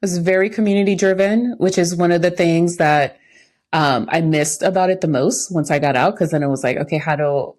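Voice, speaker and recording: A woman's voice: fairly low pitch, a little fast, a little mellow. An American woman, a podcast co-host, talking casually in a candid, reflective way about her own experience. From United States, lived in New York when young. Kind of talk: casual chat.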